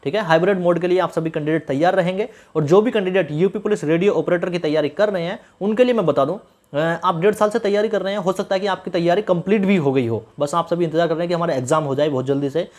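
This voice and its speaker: Fast-talking man explaining. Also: high-pitched, darkish tone. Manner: instructive, repeats phrases for emphasis. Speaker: exam news YouTuber addressing candidates. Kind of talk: informal explainer with advice to viewers.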